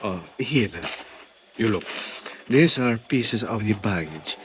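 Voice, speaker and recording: An actor playing an earnest Mexican official who speaks halting, Spanish-accented English full of "uh" fillers, in a 1950s radio drama. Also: male voice, lowish voice, animated. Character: earnest Mexican male official at a crash site. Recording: dull old radio transcription with faint hiss.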